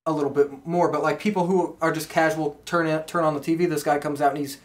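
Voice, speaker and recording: A man's voice: fairly high pitch, steady tone, fast talker. A man hosting a wrestling podcast, chatting casually and informally with co-hosts. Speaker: podcast host.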